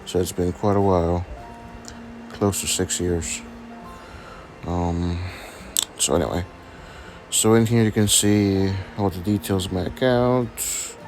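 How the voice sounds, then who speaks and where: A deep-voiced man talks slowly, his voice gravelly and raspy because he is sick. He hesitates a lot, filling gaps with ums as he casually shows off his game account. Soft music sits faintly behind him. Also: steady tone. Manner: hesitant, many ums and restarts. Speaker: gaming channel host.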